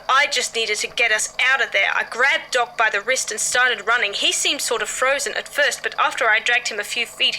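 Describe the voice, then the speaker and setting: A woman plays a rattled young podcast guy with a very bright, fairly high voice. He gives his account in an audio drama haltingly and earnestly, as if talking it through, with a faint noise behind him. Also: poor audio. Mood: tense, relieved. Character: shaken young male podcaster giving a witness statement. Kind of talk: first-person audio drama monologue.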